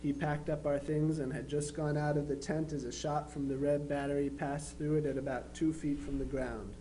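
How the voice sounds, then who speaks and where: A man's voice: a little high, flat delivery, moderate pace, dark tone, lightly acted. A man playing a dutiful young Union soldier, reading his letters home in an understated, matter-of-fact way for a dramatization. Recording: faintly noisy live recording with hum.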